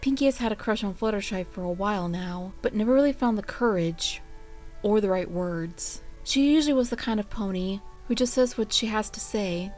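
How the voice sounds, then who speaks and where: Female fan fiction narrator, mid-range pitch, expressive storytelling delivery, music underneath. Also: normal speed.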